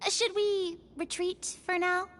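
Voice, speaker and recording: A woman voicing an eager, playful young girl adventurer in a video game, expressive in delivery. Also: very high voice, slow pace, a little hiss or hum, heavily acted. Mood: uneasy, musing. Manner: expressive, switches between musing asides and bold declarations.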